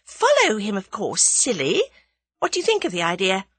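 A woman voicing a bold, impulsive young English woman in a dramatised audiobook, bright and emphatic. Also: mid-range voice, huge pitch swings, normal speed, husky, no echo, pitched above the performer's own voice, full character voice. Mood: bossy, eager. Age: young adult.

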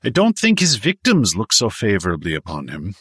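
A man's voice: lowish voice, huge pitch swings, fairly quick, fairly bright tone, slightly rough, no room sound, clean studio sound, exaggerated voice. A man voicing a bitter, indignant businessman in an audiobook, earnest and insistent. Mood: bitter, urgent. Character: bitter, indignant businessman son of Polish parents.

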